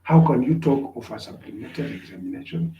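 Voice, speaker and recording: A man's voice: mid-range voice, big pitch swings, fast, echoey room, muffled recording, noisy background. A man giving an online class lecture, repeating himself often and checking whether his students can hear him. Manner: repetitive, checks on listeners.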